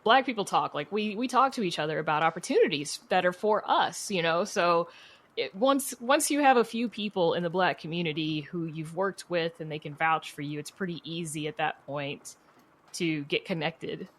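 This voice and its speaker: A woman's voice: on the low side, moderate pace. A woman speaking as an interview guest in a casual, chatty way, peppering her talk with conversational asides. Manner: chatty, frequent "you know" asides. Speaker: guest, podcast creator and writer.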